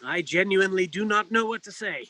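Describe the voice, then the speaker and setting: A man acts out a prim, loyal publican in a noir comedy on stage. His voice is high and bright, with careful formal phrasing and dry understatement, recorded close and dry. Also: a little fast, dry recording. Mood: deadpan. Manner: formal, fussy, precise wording with deadpan understatement. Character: proper, dryly witty male pub owner, loyal friend. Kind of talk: comic noir stage acting.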